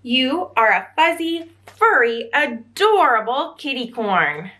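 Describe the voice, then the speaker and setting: An eager little storybook kitty as a woman reader puts it on, full of wonder and exclamation. The voice is high and swoops about with breathless excitement. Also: slow, exaggerated voice. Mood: delighted. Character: excitable pink kitty longing to be a unicorn.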